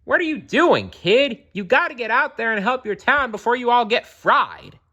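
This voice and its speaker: A man voicing the small, frantic mayor of a tiny town, calling out in an excited, urgent storybook voice. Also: extremely high pitch, normal speed, dull audio, voice pushed up, full character voice.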